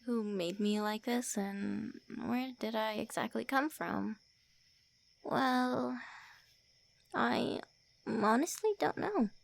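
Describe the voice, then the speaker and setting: A centuries-old vampire woman, voiced by a woman, prickly on the surface and lonely beneath it, speaking in slow, soft, often whispered lines. Her fairly high voice carries a gravelly, creaky edge in this ASMR roleplay. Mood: wistful. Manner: tsundere, sharp then wistful.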